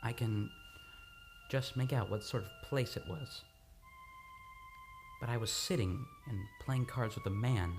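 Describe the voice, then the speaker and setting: A man playing a troubled, agitated amnesiac in an old-time radio drama, speaking haltingly and repeating his words. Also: mid-range voice, natural voice. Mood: haunted, recalling. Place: radio studio, live radio play. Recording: dramatic music under the voice.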